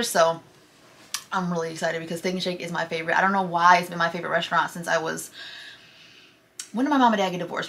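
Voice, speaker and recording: A woman's voice: lowish voice, a bit bright. A woman of twenty chatting to her phone camera from a parked car, gushing and casual, often saying y'all. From Michigan. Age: 20s. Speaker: vlogger.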